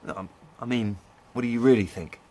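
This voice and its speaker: A man's voice: medium pitch, steady tone, brisk, warm tone, natural voice. A man with an English accent playing an earnest, ambitious farmer in a radio soap. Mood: uneasy. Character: earnest, ambitious English farmer pitching his business ideas.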